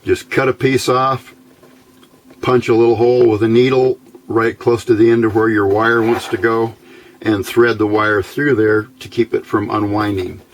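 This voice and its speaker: A man giving a casual hands-on electronics tutorial, halting and thinking aloud as he goes. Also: fairly low pitch, flat delivery, a little hiss or hum. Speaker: electronics hobbyist video host.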